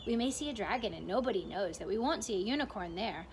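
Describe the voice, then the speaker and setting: A woman's voice: mid-range voice, fast, slight background noise, natural voice. A woman doing a giddy grinning centipede. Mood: excited, sing-song. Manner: sing-song rhyming recital, lively. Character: excitable boastful male centipede, grinning.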